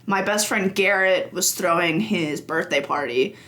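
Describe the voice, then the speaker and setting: A woman's voice: lowish voice, unhurried, a bit bright. A young woman guesting on a casual podcast, a chatty storyteller who laughs easily and fills with ums. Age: young adult. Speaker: games journalist guest.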